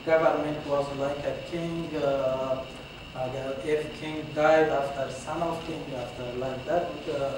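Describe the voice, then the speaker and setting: Slow hesitant man speaking in an echoey hall. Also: on the high side, fairly even intonation. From Afghanistan. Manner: hesitant, frequent "uh" pauses, non-native grammar. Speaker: symposium presenter. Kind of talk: halting slide presentation. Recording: very echoey hall, far from mic, with clear room noise.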